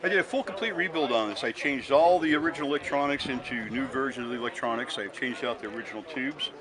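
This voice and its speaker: A man's voice: mid-range voice, fast talker. A man being interviewed as a hobbyist restorer, explaining technical work step by step in a casual, matter-of-fact way outdoors. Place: outdoors at a busy swap meet. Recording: on-location recording amid loud crowd.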